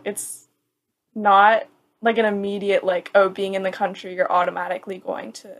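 A young American woman chatting casually about studying abroad, with lots of "like" fillers and a laugh now and then. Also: medium pitch. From United States. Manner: chatty, frequent "like" fillers, laughs while talking. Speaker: student. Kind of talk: casual chat.

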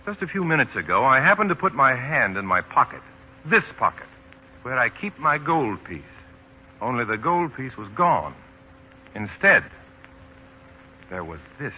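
An earnest, conflicted newspaperman acted by a man in a vintage radio play. His medium-pitched voice moves up and down in a lively way. The old broadcast sounds phone-thin with a faint hiss of noise. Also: moderate pace, pauses often, close to an everyday voice. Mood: accusing. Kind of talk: 1940s radio acting. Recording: tinny, noisy 1948 radio broadcast.

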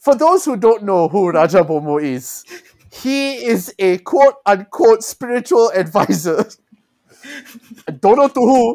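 A man's voice: high voice, expressive, slow pace, a little mellow. A young man with a Malaysian English accent, co-hosting a casual podcast, telling stories with mocking impressions and swearing. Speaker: podcast co-host. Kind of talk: casual chat.

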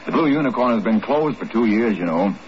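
A man's voice: mid-range voice, expressive, a little fast, close to an everyday voice. An actor playing a genial, boastful innkeeper in a 1950s radio drama, chatty and salesmanlike about his house. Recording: dull old radio recording, faint noise.

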